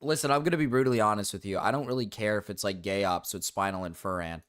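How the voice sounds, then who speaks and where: A young man streaming and ranting to his chat, rambling with restarts and fillers, his voice going low and cracking. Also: quick pace, fairly bright tone. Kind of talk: casual livestream rant to chat.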